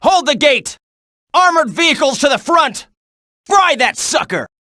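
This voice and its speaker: Tense, urgent game soldier. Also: male voice, extremely high pitch, sing-song, measured pace, pushed and bright, dry recording, clean studio sound, full character voice. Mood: urgent, commanding. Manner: clipped, urgent military delivery. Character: tense military soldier barking combat reports and orders. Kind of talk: video game battle voice clips.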